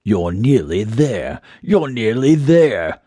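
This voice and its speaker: Male reader as a mysterious unseen taunter, whispery, very slow, teasing, repetitive, eerie storybook character. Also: mid-range voice, very animated, dark tone, breathy edge, no echo, studio quality, exaggerated voice. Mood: eerie, luring.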